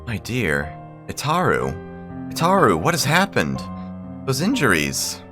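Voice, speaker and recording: A man's voice: medium pitch, huge pitch swings, slow pace, music in the background, pitched above the performer's own voice. A man voicing a refined, doting rich young lady from a visual novel, with formal, gushing politeness. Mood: worried, tender.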